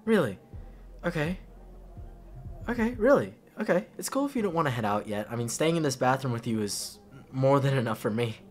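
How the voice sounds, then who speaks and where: Casting: male actor as young guy best friend for roleplay audio, affectionate and reassuring, mid-pitched, very lively intonation, rambling delivery. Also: faint music behind, lightly acted. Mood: affectionate, nervous. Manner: rambling, halting, repeats himself, slangy. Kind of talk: intimate ASMR roleplay acting, casual.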